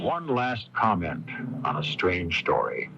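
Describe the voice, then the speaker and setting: A man hosting a radio drama, narrating in a measured, theatrical, scripted manner. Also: deep voice, huge pitch swings, gravelly. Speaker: radio show host and announcer. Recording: dull lo-fi AM radio recording with music.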